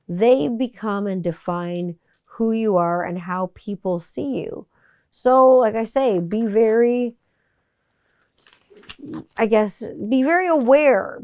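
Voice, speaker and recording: A woman in her forties hosting a podcast, talking in a blunt, profane, animated monologue. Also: mid-range voice, clear, resonant tone, no echo, narrow, tinny audio. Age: 40s. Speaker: podcast host. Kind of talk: casual monologue.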